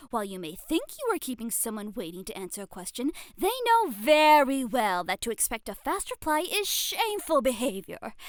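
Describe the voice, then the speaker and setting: A woman's voice: high-pitched, a bit bright, no echo, clean studio sound. A woman playing a playful, prim lady etiquette teacher in a scripted ASMR roleplay, with a genteel, theatrical delivery. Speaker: guest roleplay voice performer.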